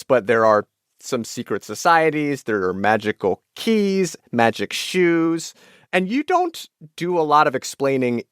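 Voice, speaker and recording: A man's voice: medium pitch, no room sound. Man hosting, close and dry. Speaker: radio podcast host and interviewer. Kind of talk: scripted narration and conversational interviewing.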